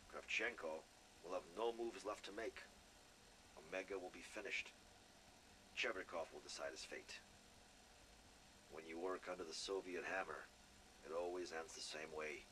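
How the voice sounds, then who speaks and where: A confident, steely special operations officer talks. He is measured and persuasive, a dramatic game character heard over clear background noise. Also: low-pitched, pushed and bright, rough recording.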